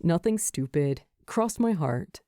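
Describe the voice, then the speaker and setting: Woman as lovestruck young man, storybook ghost, breathy, earnest, teasing, soft mellow tone. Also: lowish voice, expressive, takes it slowly, dry recording, studio quality, heavily acted. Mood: playful. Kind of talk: acted fan fiction audiobook dialogue.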